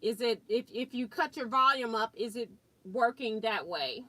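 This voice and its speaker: Loud, bright-voiced woman sewing host. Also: a little high, animated, normal speed. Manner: chatty, thinks aloud while working, chuckles often. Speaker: quilting and sewing livestream host. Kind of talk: casual live demo chat. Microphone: built-in laptop mic about four feet away.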